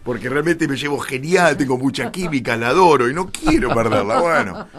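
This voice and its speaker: A middle-aged Argentine man with a Rioplatense accent talks at length as an interview guest. His medium-pitched voice moves up and down a lot, and he makes his points with emphatic, irreverent conviction. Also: big pitch swings, brisk, a bit bright. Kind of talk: conversational radio interview, explaining at length.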